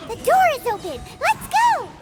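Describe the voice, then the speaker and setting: An actress voicing a tiny, cheeky, childlike fairy companion in a video game, with a perky way of talking. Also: female voice, extremely high pitch, huge pitch swings, normal speed, heavily acted. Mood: alert, urgent. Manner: perky, childlike, refers to self by name. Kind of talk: video game character acting. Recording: loud music behind the voice.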